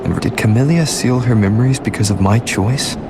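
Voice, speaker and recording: A man playing a calm young adventurer hero in a video game, reasoning aloud in a measured, analytical way. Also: lowish voice, background noise, natural voice. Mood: puzzled. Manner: measured, analytical inner monologue. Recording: background music under the voice.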